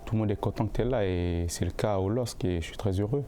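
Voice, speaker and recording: A young male football player answering interview questions in a casual, halting way, often repeating words while he looks for the phrasing. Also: fairly low pitch, heavy background noise. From born in the United States, raised in Canada. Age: young adult.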